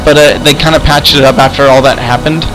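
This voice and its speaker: A man's voice: mid-range voice, steady tone, quick pace, over music, lightly acted, rough recording. A man playing a friendly adventurer in an improvised Minecraft roleplay, hesitant and often repeating himself. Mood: explaining, curious. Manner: hesitant, repetitive, stammering delivery. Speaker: roleplay video host and player.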